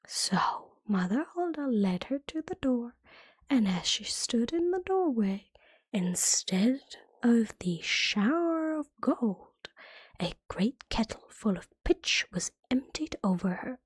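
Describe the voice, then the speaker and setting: A woman reading a fairytale as ASMR in a soft, breathy whisper, gently and carefully, like a bedtime storybook. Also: fairly high pitch, very animated, slow, no room sound.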